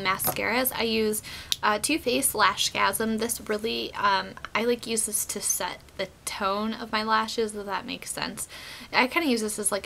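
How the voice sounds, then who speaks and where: Young woman, bright tone, chatty with fillers, getting over a cold, close webcam, small bedroom. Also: medium pitch. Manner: chatty, relaxed, many fillers like "um" and "like". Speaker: beauty vlogger. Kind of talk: casual makeup tutorial.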